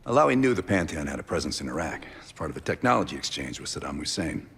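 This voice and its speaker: Gravelly, deep American spy veteran. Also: male voice, sing-song, quick pace, fairly bright tone, heavily acted. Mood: cool, terse. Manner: dry, laconic, guarded. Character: cagey, sardonic veteran American spy operative. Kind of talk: cinematic video game acting.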